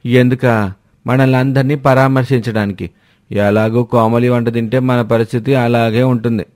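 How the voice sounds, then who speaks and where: A man's voice: medium pitch, little pitch movement, moderate pace, a little mellow, close to an everyday voice. A man playing a sarcastic grown son. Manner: sarcastic, mocking. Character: sarcastic grown son, mocking his wife.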